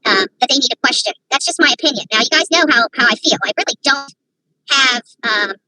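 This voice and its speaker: Woman livestreamer with a bright, sharp tone, rambling and indignant, talking to her viewers through a phone. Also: high voice, a little fast, slight background noise, poor audio. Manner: opinionated, rambling, rising to indignant rhetorical questions. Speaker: online commentator. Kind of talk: livestream commentary.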